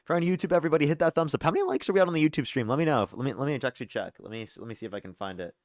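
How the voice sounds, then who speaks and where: A young man hosting a live call-in debate stream, briskly screening callers with sarcastic asides. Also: mid-range voice, dry recording, studio quality. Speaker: livestream debate host.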